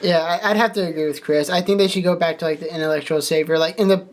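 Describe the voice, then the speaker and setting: Podcast co-host, rapid speech, medium pitch, informal casual discussion. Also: steady tone. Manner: informal, frequent "like".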